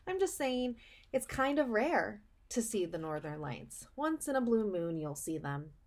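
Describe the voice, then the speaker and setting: A woman with a mid-pitched voice leads a read-aloud lesson for children. She speaks as a speech and language teacher, explaining things plainly and stressing key phrases. She often pauses to put a question straight to the young listener. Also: big pitch swings, a little hiss or hum.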